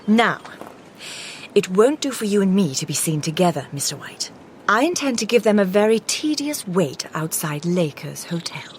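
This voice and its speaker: An actress in a Victorian radio drama playing a shrewd, composed lady detective with dry wit, measured and crisp, dropping into low confiding asides. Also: very animated, slight background noise. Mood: brisk.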